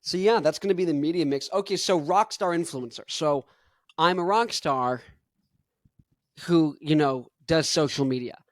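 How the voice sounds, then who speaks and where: A young man hosting his own podcast in an energetic, rambling monologue full of "like". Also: fairly high pitch, normal speed, dry recording, clean studio sound. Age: young adult. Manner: rambling, energetic, heavy use of "like". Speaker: podcast host.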